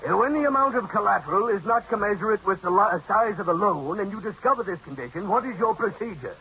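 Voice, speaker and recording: A pompous, interrogating bank examiner with a high, bright voice and a lively, accusing lilt. He belongs to a 1940s radio comedy. The recording is dull with a faint hiss. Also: fast talker, exaggerated voice. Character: stern, suspicious male bank examiner. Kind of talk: 1940s radio sitcom acting. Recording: faintly hissy dull old radio broadcast.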